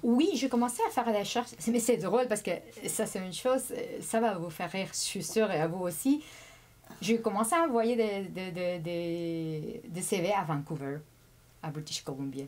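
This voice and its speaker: A woman whose first language is Spanish, a guest chatting casually in an interview, often pausing, repeating words and laughing. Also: medium pitch, a little mellow. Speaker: immigrant guest.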